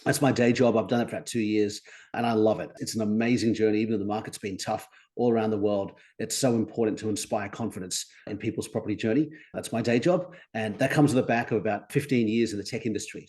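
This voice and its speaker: An Australian man in his forties, a guest on a podcast, talking upbeat and articulate with animated emphasis. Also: fairly low pitch, fast talker. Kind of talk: conversational interview.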